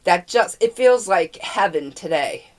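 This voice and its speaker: A woman's voice: lowish voice, expressive, measured pace, darkish tone. A woman with a cold and a congested, nasal voice, chatting and rambling about the books she is reading. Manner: rambling, chatty, full of fillers. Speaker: book vlogger. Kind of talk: casual chat about books.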